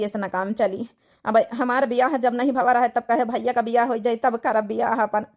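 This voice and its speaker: Cartoon widowed father played by a woman: reluctant and sorrowful, highish voice, Awadhi accent, plaintive delivery. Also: a little mellow, lightly acted. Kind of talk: dramatic cartoon dialogue in dialect.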